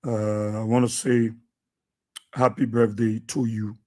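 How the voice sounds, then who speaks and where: A man's voice: level tone, slow. A man with a Liberian English accent hosting a radio talk show. He repeats himself and exhorts listeners, with many fillers. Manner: low and subdued for birthday greetings, then loud and preachy.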